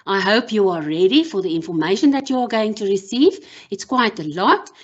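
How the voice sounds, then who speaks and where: A woman whose first language is Afrikaans gives a measured online workshop as a university lecturer, with frequent pauses and ums. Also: medium pitch, animated, lo-fi sound. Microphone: video call.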